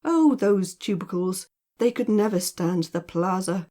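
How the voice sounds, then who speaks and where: A woman's voice: on the low side, animated, unhurried, mellow. A woman voicing a teasing, lazy, educated man in an audiobook, with playful, rhetorical exclamations. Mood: mock-serious, animated. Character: teasing, lazy, mock-solemn educated man with mellow laugh. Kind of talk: audiobook character dialogue.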